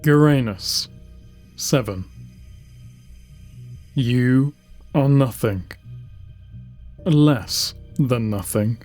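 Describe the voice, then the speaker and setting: A man giving a solemn, deliberate audiobook narration of science-fiction lore, with dramatic pauses between short phrases. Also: mid-range voice, a little mellow. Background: music.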